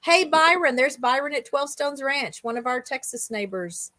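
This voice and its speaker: High-pitched woman chatting casually. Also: animated, normal speed, bright, forceful tone. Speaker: livestream co-host. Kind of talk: casual chat.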